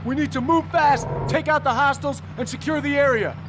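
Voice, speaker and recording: A man's voice: very high-pitched, fairly quick, noisy background. A man voicing a tough, driven special forces commander in a video game cutscene, terse and commanding. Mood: brisk, commanding. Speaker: military squad commander.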